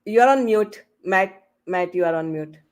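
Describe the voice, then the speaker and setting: A woman hosting a panel discussion on a video call, asking rambling questions in a casual, halting way with many "you know"s. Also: medium pitch, lively intonation. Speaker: host and moderator of a panel podcast. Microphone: online video call.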